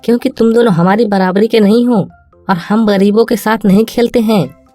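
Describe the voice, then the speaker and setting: A man's voice: high voice, fairly even intonation, moderate pace, warm tone, dry recording, faint music behind, pitched above the performer's own voice, close to an everyday voice. A man voicing a snobbish, rude village boy in a children's moral cartoon story. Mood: haughty.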